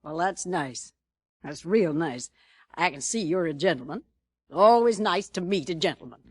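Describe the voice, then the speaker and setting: An actress playing a coy, flirtatious frontier boarding-house madam. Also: female voice, on the low side, expressive, moderate pace, husky, no echo, clean studio sound. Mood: flirty. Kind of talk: 1950s radio drama acting. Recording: old radio recording, faint hiss.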